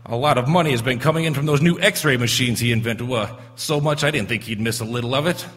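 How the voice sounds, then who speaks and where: A shifty, anxious schemer played by a man speaks in a nervous, halting way at middling pitch. It sounds like an old radio serial staged in a reverberant hall. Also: a bit bright, natural voice. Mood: nervous, scheming. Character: anxious, shifty financial adviser and secret lover. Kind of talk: old-time radio drama acting.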